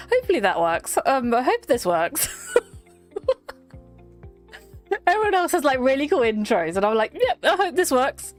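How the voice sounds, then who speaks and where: A woman streaming a game, chatting casually with her viewers and laughing often, her voice worn by a slight cold. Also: fairly high pitch. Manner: giggly, chatty, talks to chat by name. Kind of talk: casual live-stream chat.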